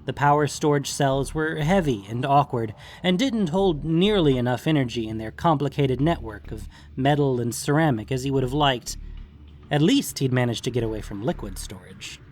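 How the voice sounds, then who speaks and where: A man narrating scripted fiction in a measured, deliberate storytelling manner. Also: mid-range voice, expressive, darkish tone, slight background noise.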